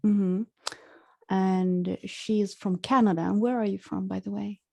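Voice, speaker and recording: Gravelly-voiced woman hosting an interview. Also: medium pitch, fairly even intonation, a little slow, dark tone. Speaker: podcast host. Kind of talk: casual interview chat.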